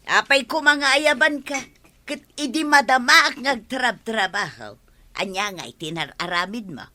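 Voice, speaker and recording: High, sharp scolding Ilocano wife. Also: female voice, lively intonation, measured pace, a little hiss or hum. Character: exasperated hardworking wife scolding her idle husband. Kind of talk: Ilocano radio comedy drama acting.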